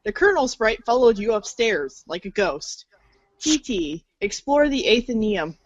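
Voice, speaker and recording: Woman narrator with lively, high pitch. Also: a little slow, no echo, lo-fi sound. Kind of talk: scripted storybook narration.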